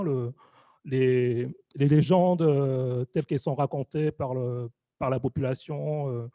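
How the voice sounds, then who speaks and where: A young man giving a halting conference talk, full of fillers and restarts. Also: fairly high pitch, mellow, no room sound. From Réunion. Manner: hesitant, many fillers and restarts. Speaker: video artist giving a lecture.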